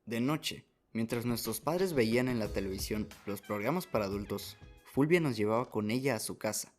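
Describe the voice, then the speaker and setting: A man's voice: lowish voice, lively intonation, moderate pace, faint music behind. A man reading scripted first-person story narration as the narrator of a radio drama.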